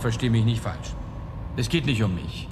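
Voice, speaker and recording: A man acting an anxious, self-important power broker in a German radio play, halting and pompous in his emphasis. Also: expressive, natural voice. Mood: agitated, pompous. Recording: reverberant space with rumbling vehicle noise.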